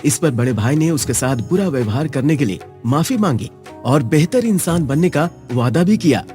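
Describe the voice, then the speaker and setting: A man tells a children's fable in a steady, clear storytelling voice that sits slightly high for a man. Soft music runs underneath. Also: moderate pace. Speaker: narrator. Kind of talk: scripted children's story narration.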